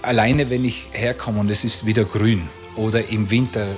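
A man's voice: on the low side, flat delivery, normal speed, soft background music. A man from Tyrol with a Tyrolean Austrian accent, talking conversationally as a local guide. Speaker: local guide being interviewed. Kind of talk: conversational guided commentary on the region.

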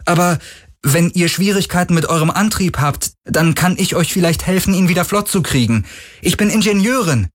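A man's voice: high-pitched, lively intonation, moderate pace, voice pushed up. A man voicing a thin woman engineer in an audiobook, polite and hesitant as she offers help. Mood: tentative.

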